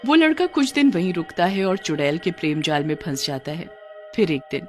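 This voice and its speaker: A woman's voice: on the low side, brisk, soft background music. A woman narrating a scripted cartoon horror story as its storyteller.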